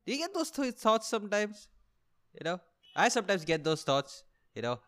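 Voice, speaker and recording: A man's voice: high-pitched, big pitch swings, normal speed, a bit bright. A young man with an Indian accent rambling casually as a solo comedy podcast host, sweary and self-deprecating, with a complaining delivery. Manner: self-deprecating, sweary, repeats and rephrases himself.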